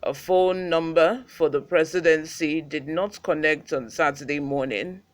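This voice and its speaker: A man's voice: a little high, measured pace. A man with a Nigerian accent, hosting a news channel with read reports and informal commentary.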